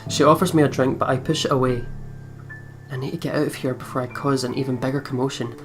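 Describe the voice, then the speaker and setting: A woman's voice: extremely low pitch, steady tone, fast talker. A woman reading a visual novel aloud and adding irreverent, sweary comments, over game music. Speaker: let's play host.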